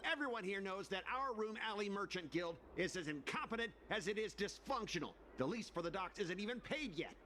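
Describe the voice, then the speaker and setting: A man's voice: sits high, lively intonation, a little fast, hardly any pauses, sharp tone, a little hiss or hum. A man voicing a belligerent, scornful troublemaker in a video game, sneering and accusatory. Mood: accusing, angry. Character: belligerent, scornful male troublemaker stirring a crowd. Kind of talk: video game character acting.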